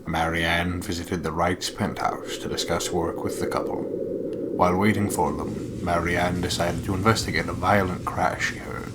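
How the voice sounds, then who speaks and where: A man's voice: deep voice, little pitch movement, moderate pace. A man co-hosting a let's play, chatting and joking casually and reading game text aloud. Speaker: co-host of a let's play channel. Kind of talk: casual joking gameplay chat with read-aloud journal entries. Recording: clearly noisy home recording.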